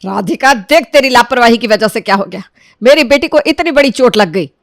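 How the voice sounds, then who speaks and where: A woman acts out a domineering middle-aged mother-in-law from a cartoon tale. She nags and accuses in a sharp, theatrical way, pushing her voice up, with a close, dry sound. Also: fairly high pitch, animated, a little fast, dry recording. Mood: furious. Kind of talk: cartoon moral-story voice acting.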